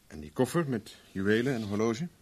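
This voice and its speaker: Male for a hard-nosed detective in vintage radio drama: lowish voice, commanding, coolly sardonic. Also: very animated, a little fast, a little mellow, husky. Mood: dry probing. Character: tough, relentless male private investigator, interrogating coolly. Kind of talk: 1970s Dutch radio drama acting.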